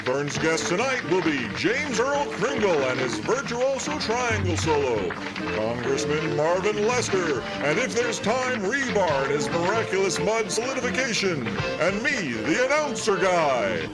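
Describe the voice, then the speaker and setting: Loud music plays under a showy male announcer played by a man in a comedy radio show. His high voice swoops widely as he stretches out theatrical introductions. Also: very animated, unhurried, low-quality recording. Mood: showy, excited.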